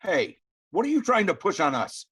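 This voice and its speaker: A man playing a gruff, curt farmer uncle with a brusque, commanding manner in a script read. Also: high voice, big pitch swings, moderate pace, a bit bright, no room sound, clean studio sound, full character voice. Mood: haggling. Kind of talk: virtual script table read.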